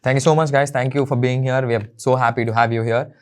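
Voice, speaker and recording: Guy hosting a panel, upbeat, quick little check-ins, mid pitch. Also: steady tone. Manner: upbeat, brief interjections. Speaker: host, moderator. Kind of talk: roundtable discussion.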